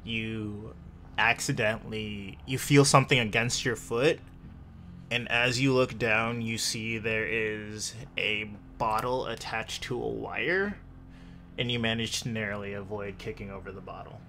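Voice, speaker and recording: A man improvising descriptive narration as game master of a tabletop roleplaying game, pausing often as he goes. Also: on the low side, fairly even intonation, sharp tone. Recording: faint background noise.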